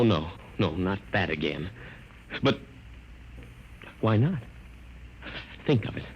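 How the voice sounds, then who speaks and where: An actor playing a tired, self-pitying, mild-mannered lawyer in a 1950s radio drama, hesitant and sardonic. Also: male voice, lowish voice. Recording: muffled, noisy 1950s radio recording.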